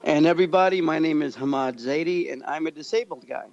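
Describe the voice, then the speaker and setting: An American man with a slightly high voice and a creaky, gravelly edge chats as a podcast co-host. He tells stories in an upbeat, jokey, conversational way. The audio is a little dull and muffled. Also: fast talker, darkish tone. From Pakistan-born, grew up in Kansas, United States. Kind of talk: casual conversational podcast chat.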